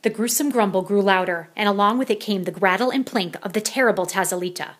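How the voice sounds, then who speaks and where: A woman's voice: medium pitch, fast talker. A woman reading a children's picture book aloud as a storyteller.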